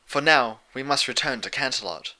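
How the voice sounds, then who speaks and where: A man voicing a gentle but firm, stately pony princess in a fan fiction story, speaking in measured, formal phrases. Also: mid-range voice. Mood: calm authority.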